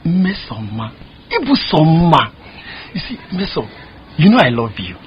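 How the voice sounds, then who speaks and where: A lovestruck suitor. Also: male voice, fairly high pitch, huge pitch swings, unhurried, lo-fi sound, a little hiss or hum. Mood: pleading. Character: lovestruck suitor, pleading and teasing.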